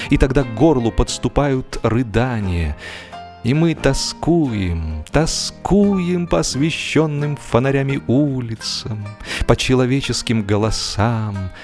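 A man performing a genial, wry gentleman who tells a humorous story in a measured, theatrical, expressive way. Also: medium pitch, lightly acted. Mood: solemn, wistful. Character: genial, wry, musing gentleman narrator of a comic tale. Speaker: audiobook narrator and actor. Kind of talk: dramatized first-person humorous story narration. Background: music.

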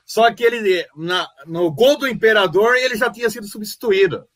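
High, bright, dry-sounding chatty man. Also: moderate pace, no echo. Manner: exclamatory, slangy storytelling. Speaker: podcast co-host. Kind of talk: casual chat. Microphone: remote call recording.

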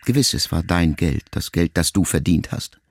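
Deep, gravelly male audiobook narrator. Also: lively intonation, very noisy. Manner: measured, reflective, intimate first-person address. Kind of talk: scripted literary narration. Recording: music clearly under the voice.

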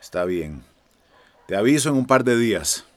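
A man's voice: mid-range voice, sing-song, moderate pace, close to an everyday voice. A man with a Central American accent playing a cautious, businesslike migrant smuggler in a radio drama.

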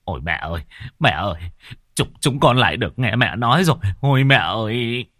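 A man's voice: medium pitch, lively intonation, brisk, fairly bright tone, dry recording, studio quality. A man voicing a middle-aged son, tearful and soothing toward his mother. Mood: sobbing.

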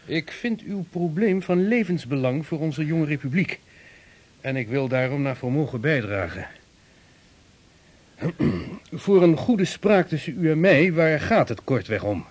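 A man's voice: a little high, animated, measured pace, a little mellow. An actor playing a formal, authoritative statesman in a period radio drama. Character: stately statesman of a young republic, adult man.

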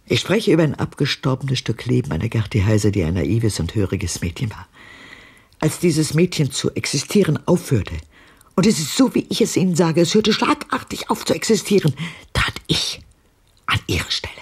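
Haunted old woman for radio drama: very husky, gravelly, bitter, deliberate. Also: very animated, full character voice. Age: older. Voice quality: very husky, breathy, low for a woman. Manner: deliberate, pointed, dramatic, dropping into whispers.

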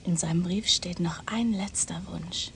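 An actress playing a seductive, mysterious, self-assured woman in a crime radio play, with breathy, teasing, coaxing delivery. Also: on the low side, unhurried, a bit bright, slight background noise. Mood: quiet, intimate. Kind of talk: 1990s German crime radio play acting.

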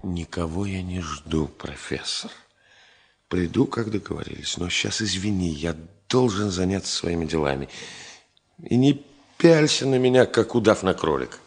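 An actor in a Russian radio drama playing a stubborn, ironic engineer with a husky voice and a dry, mock-polite manner. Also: male voice, low voice, animated, normal speed, full character voice. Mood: mock-polite, evasive. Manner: dry irony, mock-polite, emphatic repetition. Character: stubborn, ironic ailing engineer, busy with unfinished work. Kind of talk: 1970 Soviet radio drama acting.

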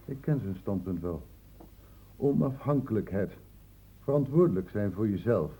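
An actor playing a shrewd, paternal doctor in a radio play, speaking in a measured, patronising, ironic way. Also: male voice, lowish voice, lively intonation, dark tone, slightly rough. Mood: grave lecturing. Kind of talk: radio play acting in Dutch. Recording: muffled, phone-like old radio recording.

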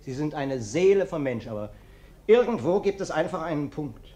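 An actor playing an uncouth, sly vagrant guest who acts humble and self-pitying, in a German radio play. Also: male voice, on the high side, lively intonation, normal speed, mellow, natural voice. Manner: plaintive, falsely humble, insistent.

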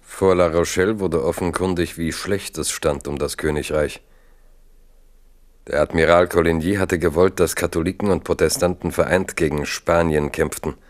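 A man narrating a literary radio play in a measured, storytelling manner. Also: deep voice, fairly even intonation.

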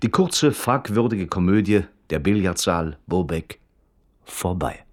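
A male radio play narrator with a very low voice. He is detached and observant, measured and precise, yet his intonation moves widely through the scripted prose. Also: animated. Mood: detached, matter-of-fact.

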